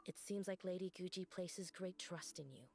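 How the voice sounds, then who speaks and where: A woman's voice: fairly low pitch, moderate pace, darkish tone, no echo, faint music behind. A woman voicing an earnest, deferential shrine attendant in a video game, speaking politely. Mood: grateful. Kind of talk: game character voice acting.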